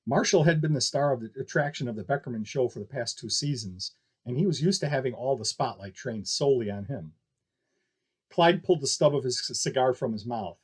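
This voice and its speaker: Male audiobook narrator, lively intonation, slightly lower pitch, a touch husky. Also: brisk. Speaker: author or narrator reading aloud. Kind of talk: scripted fiction narration.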